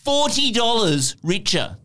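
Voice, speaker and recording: Excitable Australian man, bright higher voice. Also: unhurried. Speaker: podcast co-host. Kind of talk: satirical news banter.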